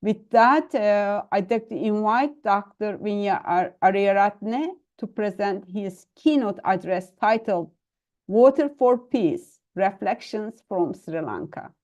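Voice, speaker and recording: Woman moderator reading a speaker intro, mellow warm tone, formal. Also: mid-range voice, slow pace, dull audio. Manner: formal, reads the bio aloud. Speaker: webinar moderator.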